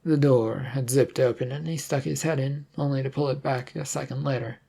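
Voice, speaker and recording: Husky, creaky-voiced story narrator. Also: mid-range voice, fairly even intonation, brisk, dark tone. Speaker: story reader. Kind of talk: scripted narration of a science fiction detective story with character dialogue.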